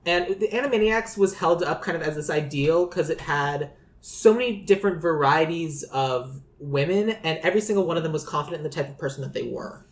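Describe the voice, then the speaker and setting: A woman's voice: low voice, brisk, reverberant. A woman hosting a podcast, chatting casually and rambling with lots of fillers as she thinks aloud. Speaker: podcast host. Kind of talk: casual chat.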